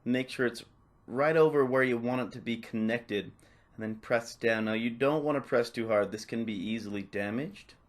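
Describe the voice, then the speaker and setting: A man narrating a step-by-step tutorial off the cuff, in a casual, instructional way with softened phrasing. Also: mid-range voice, moderate pace. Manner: casual, instructional, hedging with "kinda" and "a little bit". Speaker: repair tutorial presenter.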